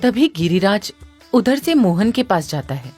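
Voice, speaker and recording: A woman narrating a cartoon story in a lively storybook tone. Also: medium pitch, measured pace, faint music behind.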